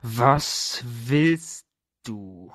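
A gruff, distrustful troll mother, acted out by a man in a tabletop game. The voice sits at a middle male pitch, with blunt, halting, rude delivery. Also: lively intonation, a bit bright, no room sound, studio quality, exaggerated voice. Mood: suspicious.

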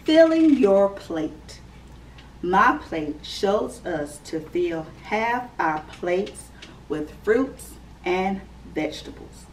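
A woman in her thirties, a preschool teacher, reading a picture book aloud to young children in a slow, clear, sing-song storytime manner from her classroom. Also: medium pitch, expressive, darkish tone. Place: classroom indoors. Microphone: camera a few feet away. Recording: slightly distant camera mic with faint room noise.

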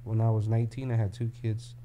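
A man's voice: lowish voice, level tone, fast talker, warm tone, a little hiss or hum. Blunt middle-aged man, frank and open. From Mexico. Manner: blunt and open, many "you know" fillers and restarts. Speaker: record label CEO, guest. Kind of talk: candid interview talk. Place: office room with desk and paintings. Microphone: studio mic on a boom arm.

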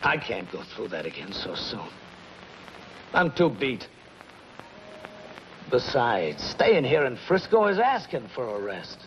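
Casting: middle-aged gangster fugitive, possessive and demanding, mid-pitched, clipped intensity, muffled old TV soundtrack. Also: male voice, sing-song, slow, long pauses, a bit bright. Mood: intense, insistent. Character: hard, possessive middle-aged killer on the run. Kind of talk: 1950s television crime melodrama acting. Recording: muffled, noisy 1950s television soundtrack.

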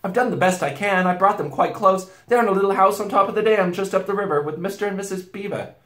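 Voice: medium pitch, quick pace, a bit bright, pitched above the performer's own voice, lightly acted. A performer voicing a frightened, polite young boy from a storybook, trembling and stammering in a roomy space. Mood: pleading. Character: frightened, stammering schoolboy, polite and eager to please.

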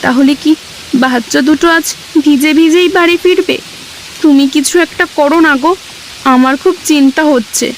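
A woman playing a gentle, anxious, ailing village wife and mother in a Bengali cartoon story. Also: high-pitched, normal speed, a little hiss or hum, rough recording.

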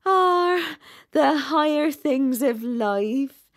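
A woman voicing a peaceable, practical female duck in a storybook, chatty and homely, with emphatic, drawn-out delivery. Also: sits high, lively intonation, pitched above the performer's own voice, exaggerated voice. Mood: placid, practical. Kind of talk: storybook character reading.

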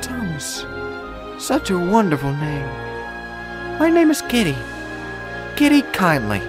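Man putting on a high, gentle young woman. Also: big pitch swings, slow pace, over music, full character voice. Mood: kindly. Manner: soft, kindly, earnest. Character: kind, gentle young woman with consoling warmth.

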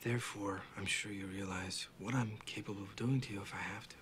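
An actor plays a hard American film investigator in his forties with a shady past. He is low-voiced, terse and understated, calmly menacing, over clearly noisy restaurant ambience. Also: brisk, lightly acted. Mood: quietly menacing. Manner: terse, understated, coolly confident. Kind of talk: film acting.

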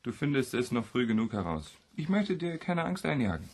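A man's voice: mid-range voice, very animated, fairly quick. An actor playing a mischievous young man in a radio play, with a teasing manner. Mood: mock-ominous. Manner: mock-ominous, teasing.

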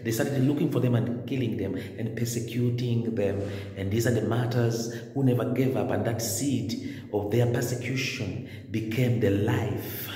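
A man's voice: medium pitch, steady tone, normal speed, warm tone. Man preaching in an echoey chapel. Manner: earnest preaching with repeated phrases and gestures. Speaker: priest. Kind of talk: video homily. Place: stone-walled chapel indoors. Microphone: phone at arm's length. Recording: echoey chapel with long reverb.

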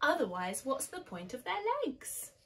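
Eager little best friend, a woman acting, storybook know-it-all, insistent and earnest, raised lively pitch. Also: a little slow, slight background noise, exaggerated voice.

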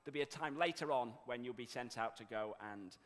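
A man's voice: mid-range voice, a little fast. A young man leading a church service, giving upbeat instructions and introducing speakers from a lectern. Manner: upbeat, directive announcements. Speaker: service leader. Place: church hall with raised stage. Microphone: lectern microphone. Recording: echoey church hall.

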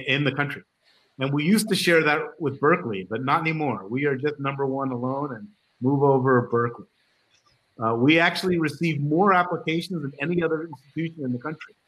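A man's voice: fairly high pitch, fairly bright tone, low-quality recording. Upbeat man hosting a video call. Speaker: department chair hosting a welcome panel. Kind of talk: casual welcoming talk. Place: home room on a video call.

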